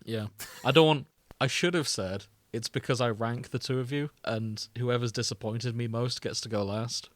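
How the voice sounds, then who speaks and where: A man's voice: medium pitch, fairly even intonation, normal speed, few pauses, dry recording. Male podcast host, mid-range voice, informal banter, very little room sound. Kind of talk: casual banter between co-hosts.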